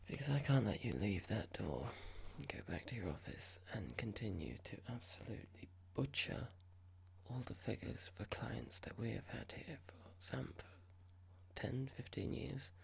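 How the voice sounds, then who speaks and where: Someone acting out a snooty mean corporate boss, asmr whisper, super husky, pitch moving around, formal and cold. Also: animated, full character voice. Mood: condescending, self-satisfied. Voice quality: breathy, gravelly whisper with clicks. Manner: condescending, measured, pompous, formal. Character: horrible condescending corporate boss, quite young, coldly reprimanding. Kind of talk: whispered ASMR roleplay monologue.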